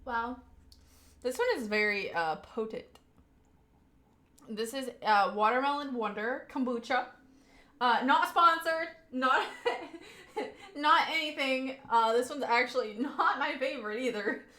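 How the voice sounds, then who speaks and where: A woman's voice: sits high, measured pace, bright, forceful tone, reverberant. A young woman with a Southern US accent, chatting casually with her live audience as a streamer and laughing often mid-sentence.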